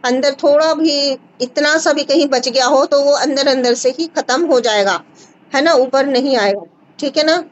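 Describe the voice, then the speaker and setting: Woman, high voice, reassuring host, video call from home, lo-fi sound. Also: a little slow. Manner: reassuring, repeats short checks like "है ना?". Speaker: host advising a caller on a skin remedy. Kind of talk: live video call, casual advice. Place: indoor home room with curtains. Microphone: phone front camera on a video call.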